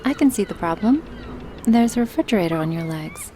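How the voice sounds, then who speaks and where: A woman's voice: mid-range voice, big pitch swings, brisk, noisy background, natural voice. A woman playing a wry, helpful, unflappable young woman with a dry, teasing manner in a comic dub. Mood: calm, curious.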